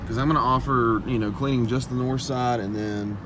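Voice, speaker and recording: A man's voice: mid-range voice, steady tone, a little fast. A young man talking casually to viewers while driving, filmed on a phone inside a car. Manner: casual, chatty, addresses viewers as guys. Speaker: pressure washing business owner. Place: inside a parked or moving car. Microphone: phone camera held at arm's length. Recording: phone recording in a car with road noise. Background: car and outdoor noise.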